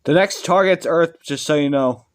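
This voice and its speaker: A man's voice: fairly high pitch, normal speed, smooth, natural voice. A man acting a casual young guy breaking doomsday news in an amateur audio drama. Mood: urgent, casual.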